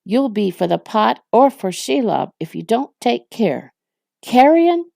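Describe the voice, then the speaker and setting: A woman's voice: on the high side, very animated, measured pace, vocal fry, warm tone, no echo, studio quality, voice pushed up, heavily acted. Woman putting on a snarling orc captain, voice raised. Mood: angry, scornful. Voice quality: growling. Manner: snarling, blustering. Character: snarling, irritable male orc captain, duty-bound.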